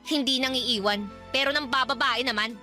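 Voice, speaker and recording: A woman playing a resentful, sharp-tongued young Filipino daughter in a radio drama, confrontational and sarcastic. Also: sits high, moderate pace, soft background music. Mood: accusing.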